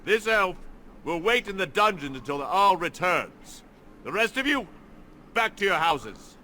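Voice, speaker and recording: An actor playing a stern, commanding captain of the city guard in a fantasy video game, formal and authoritative. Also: male voice, sits high, very animated, unhurried, sharp tone, slight background noise, exaggerated voice.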